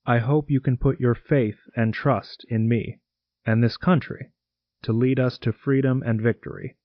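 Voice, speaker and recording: Actor playing a grave president, dull recording. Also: male voice, mid-range voice, steady tone, dry recording, natural voice. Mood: solemn. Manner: grave, measured, oratorical. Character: solemn US president announcing war to the nation. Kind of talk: formal radio address acting.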